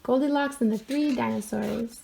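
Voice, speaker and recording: A woman's voice: a little high, moderate pace, creaky, warm tone, rough recording. A woman storyteller reading a children's picture book aloud expressively, with wry, mock-innocent asides.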